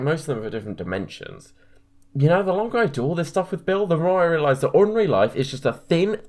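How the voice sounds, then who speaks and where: A man playing a put-upon, earnest assistant to a monster hunter in a comedy cartoon, explaining his job meekly as if to a documentary camera. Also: a little high. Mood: ominous, earnest. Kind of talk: mockumentary comedy voice acting, talking to camera.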